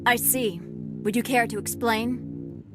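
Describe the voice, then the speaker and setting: A woman's voice: on the high side, steady tone, slow. Stern ex-marine security boss lady, video game, dry and formal, music going behind her. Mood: stern, restrained. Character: stern, fair-minded female security captain, weary ex-marine. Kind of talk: scripted video game acting.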